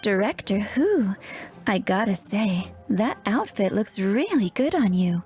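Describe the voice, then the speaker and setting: A woman's voice: mid-range voice, sing-song, a little slow, darkish tone, soft background music. An actress playing a friendly, easygoing female townsperson in a video game, conversational and obliging.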